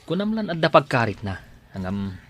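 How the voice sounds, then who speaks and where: A man's voice: mid-range voice, very animated, normal speed. A man with a Filipino accent playing a chatty, teasing, obliging office underling in a radio comedy-drama. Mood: gossipy.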